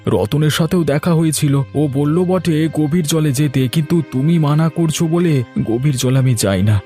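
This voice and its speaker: A man's voice: on the high side, moderate pace, mellow, faint music behind, lightly acted. Man playing a plaintive, downhearted poor fisherman. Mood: dejected. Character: poor, downhearted fisherman lamenting his luck. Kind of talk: cartoon dialogue acting.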